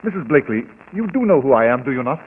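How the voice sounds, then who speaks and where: An actor playing a grave, authoritative doctor who speaks formally and insistently in a 1940s radio mystery. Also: male voice, medium pitch, very animated, racing, natural voice. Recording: tinny, very noisy old radio broadcast.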